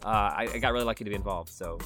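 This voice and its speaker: A man's voice: fairly low pitch, very fast, music in the background. A man speaking enthusiastically and effusively as a guest in a casual interview. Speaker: audio drama creator, interview guest.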